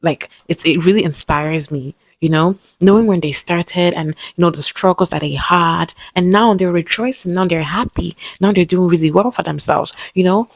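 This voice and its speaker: A young woman with a lisp talks in a soft, hesitant, personal vlog style and often restarts her sentences. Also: lowish voice, moderate pace, hardly any pauses, no room sound. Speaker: vlogger.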